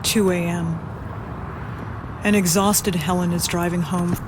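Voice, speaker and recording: A woman's voice: lowish voice, steady tone, measured pace, background noise. A woman reading scripted narration for a horror audio drama.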